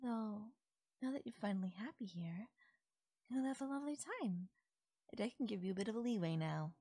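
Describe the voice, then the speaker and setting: Yandere fairy for ASMR-style roleplay, played by a woman: female, mid-pitched, creaky, doting, possessive, teasingly affectionate. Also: animated, fairly quick, dry recording, clean studio sound. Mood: playful, coaxing. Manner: coaxing, affectionate, softly teasing. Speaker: voice actor. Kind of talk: intimate audio roleplay, ASMR-style acting.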